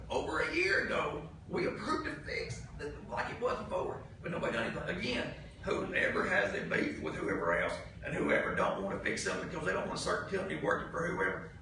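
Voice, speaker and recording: A county commissioner, a man with a Southern US accent, speaking heatedly at a public meeting in a blunt, folksy, exasperated way and repeating his points for emphasis. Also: high voice. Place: indoor public meeting room. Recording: noisy, very echoey meeting room, distant mic.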